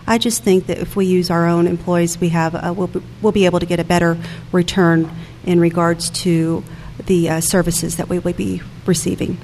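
A woman's voice: fairly low pitch, level tone, normal speed, a little mellow. Older woman candidate in a reverberant hall. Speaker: city council candidate. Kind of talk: candidate forum remarks. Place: public forum room at a school. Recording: reverberant hall, noticeable background noise.

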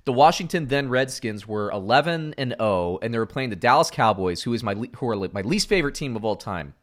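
A middle-aged man from Central Florida co-hosting a casual sports podcast, animated, going from loud outbursts to muttered asides. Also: medium pitch, fast talker. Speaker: podcast co-host.

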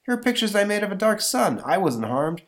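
Guy reading an earnest scientist hero, bit higher voice, comic book. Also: sing-song, a little fast, a bit bright, heavily acted. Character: earnest young male scientist.

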